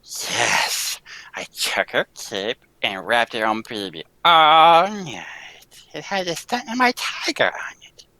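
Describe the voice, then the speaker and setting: Radio serial villainess played by a man: vengeful, melodramatic, hushed then shrill, hugely animated. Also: takes it slowly, dry recording, heavily acted, poor audio. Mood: sinister, confessing. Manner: melodramatic, swinging from hushed hisses to shrieks. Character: murderous circus woman, vengeful, unhinged villain. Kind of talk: 1940s radio serial acting.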